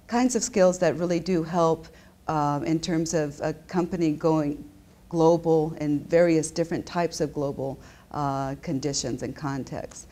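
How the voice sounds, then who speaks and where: Low-voiced woman professor explaining. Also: warm tone. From Japan. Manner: explanatory, with frequent restarts and fillers. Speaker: business school professor. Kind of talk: interview answers. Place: indoor office.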